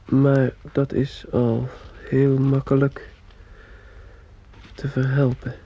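A man's voice: medium pitch, completely flat delivery, slow, dark tone, breathy edge. A man acting a troubled, withdrawn young male student in a radio drama, on a dull, muffled old recording. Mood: cold, measured.

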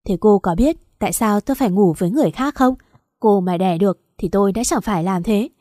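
A woman's voice: medium pitch, quick pace, warm tone, no room sound, clean studio sound, close to an everyday voice. A woman doing a curt, brutal husband. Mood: harsh. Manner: curt, bossy orders. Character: cold, brutal husband, harsh and dismissive.

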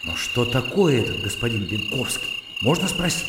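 Actor as an animated educated gentleman, echoey. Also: medium pitch, moderate pace, very noisy, lightly acted. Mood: puzzled, polite. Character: educated, reserved gentleman, polite and mildly argumentative. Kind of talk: Russian radio play acting. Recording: echoey reverberant sound, clear cricket ambience.

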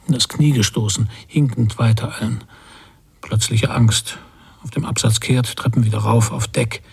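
A man's voice: mid-range voice, steady tone, normal speed, vocal fry, slightly rough. A man playing a bitter, guilt-ridden middle-aged father in a radio drama, thinking aloud in terse fragments. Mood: angry, driven. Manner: terse, fragmented inner monologue. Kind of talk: German radio drama interior narration.

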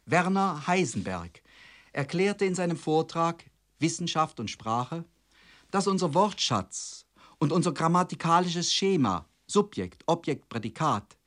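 A man presenting a scripted, didactic lecture on literature in a formal manner, stressing key words. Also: a little high.

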